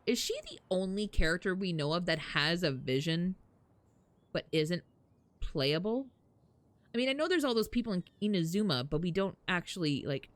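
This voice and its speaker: A woman livestreaming a game, chatting casually with viewers in a sweary, informal way. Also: lowish voice. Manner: sweary, prone to laughing. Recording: faint game music behind. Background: faint music.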